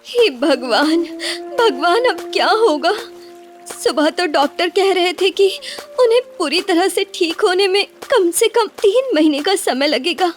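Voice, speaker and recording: A woman voicing a devoted, sensible young village wife in an animated moral story, with a caring, expressive and dramatic manner. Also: very high voice, unhurried, faint music behind. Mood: distressed pleading.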